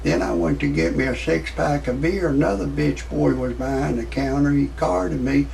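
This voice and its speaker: A man in his sixties giving rambling, off-the-cuff commentary in a folksy, repetitive, halting way, as an amateur video host. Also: on the high side, creaky, darkish tone, distant mic, a little hiss or hum. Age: 60s.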